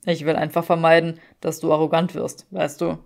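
A woman's voice: low voice, little pitch movement, brisk, creaky, pitched below the performer's own voice. A woman voicing a haughty young aristocratic man in an audiobook, teasing in a dry, mock-dramatic way.

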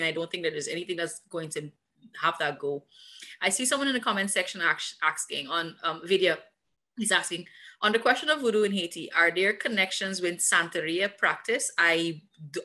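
A young woman from Guyana moderating a video call discussion, lively and curious. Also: on the low side.

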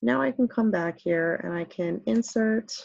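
A woman's voice: a little high, measured pace, gravelly, darkish tone, no echo, dull audio. A woman teacher talking casually through a screen-recorded tutorial, step by step, with many okays.

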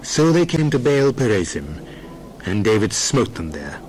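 A man narrating scripture in a measured, formal way for a dramatized reading. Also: fairly low pitch, sing-song, a little mellow, slight background noise, poor audio.

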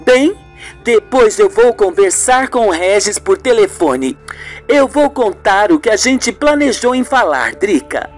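A performer voicing a calm, determined woman in a radio soap, speaking firmly and deliberately. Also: mid-range voice, soft background music, pitched above the performer's own voice, natural voice. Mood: resolute. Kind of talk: radio soap opera acting.

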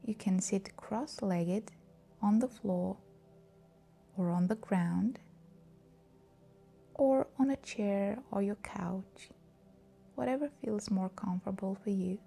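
A woman leading a scripted guided meditation in a soft, breathy, soothing and calm voice. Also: medium pitch, slow pace, long pauses, faint music behind.

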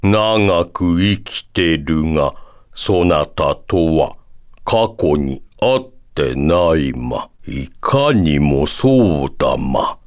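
A man voicing a solemn, long-lived devil in a Japanese video game, pompous and drawn-out, with a quirky verbal tic at sentence ends. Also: very deep voice, animated, heavily acted. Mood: solemn, musing.

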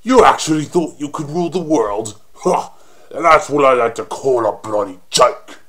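A man voicing a cocky, flirty undead punk rocker monster for a game, with a raspy British accent and cheeky taunting slang. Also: medium pitch, animated, normal speed, fairly bright tone. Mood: mocking. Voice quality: raspy, husky. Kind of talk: video game character voice demo.

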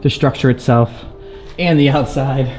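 A young man in his twenties talking excitedly to a handheld camera as he explores an abandoned sea fort, thinking aloud. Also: medium pitch, normal speed, over music. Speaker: urban explorer vlogger. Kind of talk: casual handheld vlog narration. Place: inside an abandoned sea fort, concrete corridors and rooftop.

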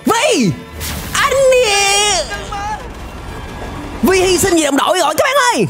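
A young man with a Southern Vietnamese accent hosting a reaction video with excited, exclamatory commentary. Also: extremely high pitch, slow pace, a loud crowd. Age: young adult. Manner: exclamatory, animated. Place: indoor room, gaming setup. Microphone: close desk microphone. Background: music and cartoon soundtrack.

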